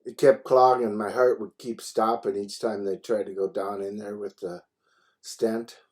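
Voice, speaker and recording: An older man with a low, soft and very mellow voice. He tells his stories casually over the phone, in blunt and salty language. Chuckles tend to creep into his way of talking. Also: little pitch movement, unhurried. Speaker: phone call participant recounting his medical history. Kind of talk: casual chat, anecdotal storytelling. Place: phone conversation.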